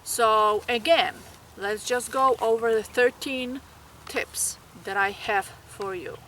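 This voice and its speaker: A woman's voice: fairly high pitch, fairly even intonation, slow, fairly bright tone, slight background noise. A woman from Poland with a Polish accent, giving earnest, encouraging study advice to nursing students. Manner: earnest, encouraging, with small grammar slips.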